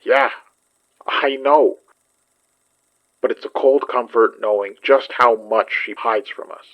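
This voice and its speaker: A man's voice: medium pitch, slow, dry recording, dull audio, close to an everyday voice. A man playing a grim, weary friend worried about someone secretive, in a naturalistic audio drama. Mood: hurt, frustrated.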